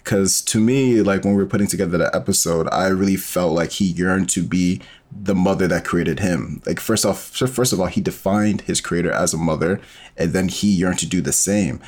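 Very deep-voiced man, casual interview guest. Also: moderate pace, darkish tone. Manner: informal, thinks aloud in loose rambling explanations. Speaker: interview guest, sci-fi audio storyteller.

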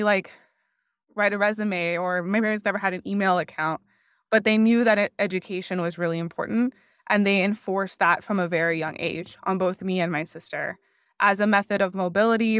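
Smooth-voiced woman from Miami. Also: medium pitch, dry recording, studio quality. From Miami, United States. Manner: reflective, earnest, uses "like" often. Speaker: podcast guest, customer success leader. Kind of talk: conversational interview.

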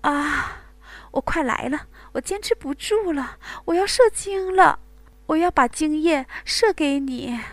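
A woman voicing an aroused, boastful adult man in an intimate scene, speaking in panting, urgent bursts without deepening her voice. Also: animated, unhurried, no echo.

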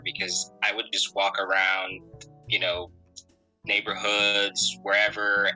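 A man in his thirties from Connecticut, talking in an easygoing, meandering way, full of little fillers and restarts. His voice is very bright and sits a bit low, with faint music underneath. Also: poor audio. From Connecticut, USA. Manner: relaxed, rambling, many fillers. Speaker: interview guest, musician and photographer. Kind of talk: casual conversational storytelling.

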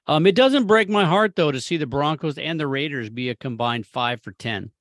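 An American man co-hosting a casual sports chat, speaking in opinionated, rambling and animated bursts. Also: on the high side, normal speed, no room sound, studio quality. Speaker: sports talk co-host.

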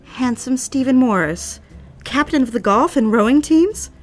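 A woman's voice: high voice, animated, moderate pace, faint music behind. Woman playing a coy, witty young lady. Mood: playfully probing. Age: young adult. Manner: coy, teasing, inquisitive. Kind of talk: period romantic audio drama acting.

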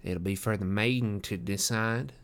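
A man's voice: on the low side, moderate pace, a bit bright. A man voicing a coarse, smug young zealot in a storybook, with a nasal voice and a sanctimonious manner. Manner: sanctimonious, self-satisfied.

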